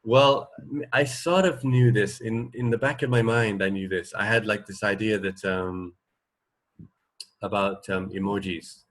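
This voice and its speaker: A man's voice: on the low side, steady tone, unhurried, a bit bright. A man hosting a radio show from home, chatting casually in a loose, hesitant, rambling way. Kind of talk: casual chat.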